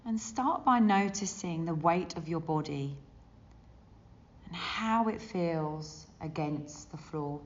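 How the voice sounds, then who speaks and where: Slow, low-voiced woman guiding meditation. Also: slight background noise. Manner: gentle, soothing, unhurried with long pauses. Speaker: yoga and meditation teacher. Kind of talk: guided body scan meditation. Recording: dull, muffled lo-fi sound.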